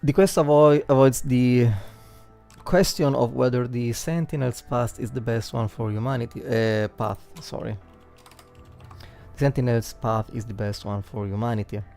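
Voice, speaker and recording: A male game streamer with a mellow, gravelly voice talks through his analysis live. He thinks aloud in a halting way, circling back over words, with faint music playing behind him. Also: medium pitch, steady tone. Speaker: livestreamer.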